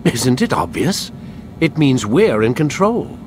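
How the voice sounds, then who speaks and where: A man's voice: mid-range voice, wildly swooping pitch, slow pace. A man playing a smug, condescending older scientist in a video game, lecturing articulately. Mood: smug, secretive. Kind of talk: video game cutscene acting. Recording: noisy game scene with ambient hum.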